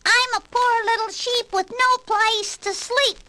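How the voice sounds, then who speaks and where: A woman's voice: very high-pitched, steady tone, measured pace, pitched above the performer's own voice. A woman voicing a storybook wolf pretending to be a poor little lamb, sugary and wheedling, on an old vinyl record. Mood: falsely sweet. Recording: 1960s vinyl record with hiss and pops.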